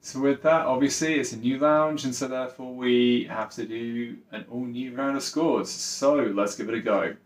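A man's voice: mid-range voice, fairly even intonation, normal speed, few pauses, reverberant. A man in his thirties giving a casual, upbeat review, listing points one by one. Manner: upbeat, listing scores item by item. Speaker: travel vlogger.

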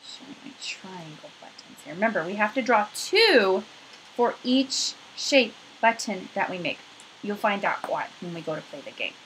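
A woman's voice: mid-range voice, very animated, moderate pace, background noise. A woman in her thirties leading a craft activity for young children, talking to them directly in an encouraging way, recorded on a webcam at home. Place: small furnished room at home, indoors.

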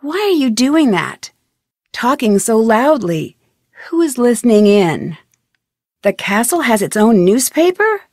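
A woman's voice: fairly high pitch, big pitch swings, a little slow, darkish tone, no echo, studio quality, exaggerated voice. A young American woman voicing an inquisitive, polite and determined amateur detective in an adventure video game, with an earnest, questioning delivery. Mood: curious, earnest. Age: young adult. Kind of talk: scripted adventure game voice acting.

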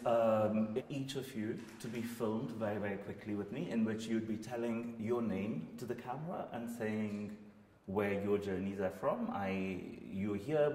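Guy hosting a workshop, relaxed, lots of ums, big echoey hall. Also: lowish voice, a little hiss or hum. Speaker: workshop host. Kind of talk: casual welcome to a group. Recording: roomy hall echo.

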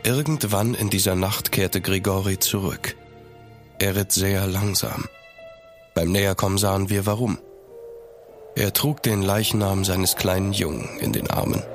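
An actor in a German horror radio play as a sceptical gentleman traveller, measured and grave. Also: low-pitched, steady tone, soft background music. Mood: grave narration. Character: sceptical gentleman traveller recounting a dark tale. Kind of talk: scripted first-person radio drama narration.

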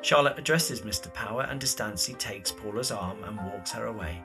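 A man's voice: fairly low pitch, normal speed, over music. A man narrating scripted stage directions for an audio drama.

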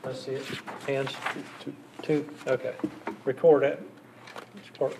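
A man serving as mayor, running a city council meeting with brisk, procedural phrasing. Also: mid-range voice, flat delivery, noisy background. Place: council chamber.

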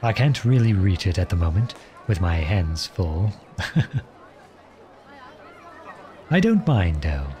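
Male actor as gallant outlawed prince, ASMR roleplay, low voice, playful, tender, courtly, outdoor ambience behind. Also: sing-song, unhurried, warm tone, some chatter behind, a little hiss or hum. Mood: fond, easygoing. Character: charming, gentle, teasing outlawed young prince. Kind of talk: ASMR roleplay acting addressed to the listener.

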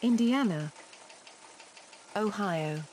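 A woman's voice: mid-range voice, expressive, drawn-out words, a little mellow, a little hiss or hum. A synthetic woman's voice making clipped, one-word announcements as an automated alert.

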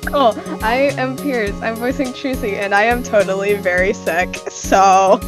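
A woman's voice: mid-range voice, steady tone, measured pace, music in the background. A young woman, an amateur voice actor, in casual, sweary, joking chat.

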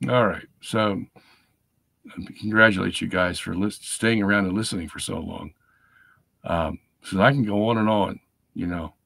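A middle-aged man hosting a live stream from his home study, chatting casually and checking in with "okay?", hoarse from a lingering cold. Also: low voice, steady tone, moderate pace, fairly bright tone. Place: home study lined with bookshelves.

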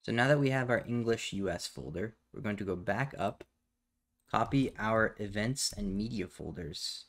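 A man with a fairly low voice narrates a software tutorial step by step. He explains each action casually and plainly, as someone talking while working at a computer. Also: creaky. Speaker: tutorial host. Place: indoors at a computer, voice over screen capture.

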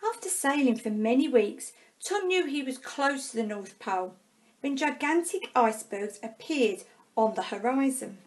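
A woman reading a children's storybook aloud expressively, with dramatic pauses and drawn-out words. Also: fairly high pitch, lively intonation, rough recording. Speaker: primary school staff member reading a storybook.

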